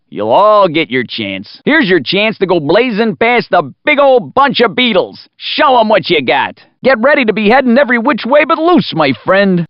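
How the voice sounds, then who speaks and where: A man's voice: high voice, no room sound. A man voicing a brash, wisecracking American race announcer in a video game, with a folksy, punchy showman delivery. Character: brash, wisecracking, excitable male city radio race announcer. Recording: slightly dull lo-fi game audio.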